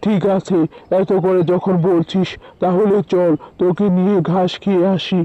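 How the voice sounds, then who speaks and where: A man's voice: high voice, flat delivery, moderate pace, mellow, no echo, lo-fi sound, natural voice. A man voicing a big, plump cow in a children's cartoon, condescending and scolding yet protective. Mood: reluctant, warning. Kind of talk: children's animated story dialogue.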